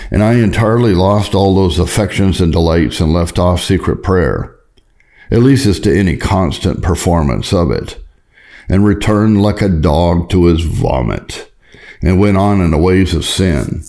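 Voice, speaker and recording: A man reading an old religious memoir aloud as an audiobook narrator, in a solemn, measured and formal way. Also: low-pitched, lively intonation, mellow. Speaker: audiobook reader. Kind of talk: scripted reading of a Puritan memoir.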